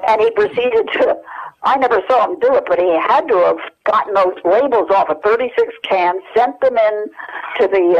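Older American woman, mid-pitched, halting anecdotal interview guest, dull telephone audio. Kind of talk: casual reminiscing interview.

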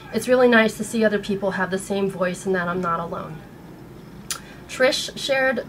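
An American woman in her thirties speaking in an earnest, halting way, upset and shaken, as in a personal vlog. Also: medium pitch, rough recording. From United States. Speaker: vlogger. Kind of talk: personal video blog, partly reading aloud. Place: small bedroom at home. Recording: noticeable background room noise.

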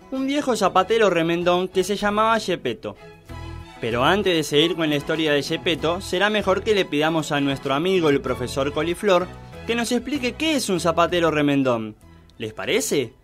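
A man narrating a comic children's story in a theatrical, drawn-out storytelling manner. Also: high voice, expressive, a bit bright, faint music behind.

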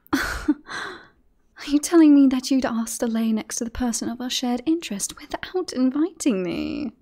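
A woman playing a flirtatious, smug British queen in a fantasy ASMR roleplay, breathy and often whispering, with playful courtly phrasing. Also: high voice, a little slow, dark tone. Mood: coy. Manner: playful, silky, formal courtly wording.

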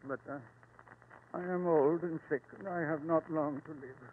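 An actor playing a proud, sick old murderous wax artist, grandiose and self-pitying, in 1940s radio style. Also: male voice, a little high, fairly even intonation, normal speed, a little hiss or hum, close to an everyday voice. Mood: anguished, obsessed. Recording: muffled old-time radio recording.

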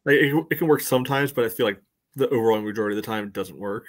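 A man's voice: mid-range voice, racing. A man in his thirties with an American accent, co-hosting a casual sports chat in a dry, understated way. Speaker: co-host. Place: room at home. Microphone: video call.

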